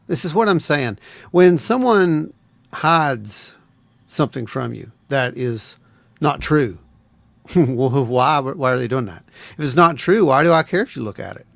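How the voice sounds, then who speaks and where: A man's voice: medium pitch, animated, measured pace, vocal fry, fairly bright tone, no room sound. A man co-hosting a live talk show, chatting casually and agreeing often, with rambling asides. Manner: agreeable, frequent short affirmations, rambling. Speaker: co-host. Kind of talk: casual live radio chat.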